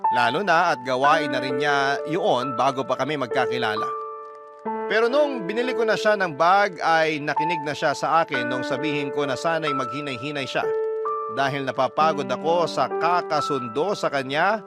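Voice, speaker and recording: A man's voice: fairly high pitch, normal speed. A man reading a first-person love story as scripted radio-style narration. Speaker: storyteller reading a listener's letter. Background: prominent music bed.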